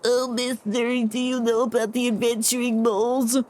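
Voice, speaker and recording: A woman's voice: fairly high pitch, level tone, normal speed, a little mellow, natural voice. A woman playing an earnest, slightly cocky young boy in a video game, with an eager, childlike manner. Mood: eager, grateful.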